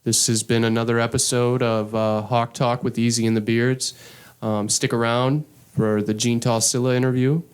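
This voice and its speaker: Relaxed, mid-pitched male podcast host. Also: smooth. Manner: relaxed, unhurried, frequent "uh" and "um". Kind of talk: casual sports talk and interview hosting.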